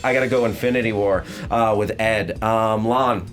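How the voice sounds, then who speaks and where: A man hosting a debate show, moderating informally and thinking out loud as he goes. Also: lowish voice, fairly even intonation, normal speed, slight background noise. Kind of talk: casual game-show moderating.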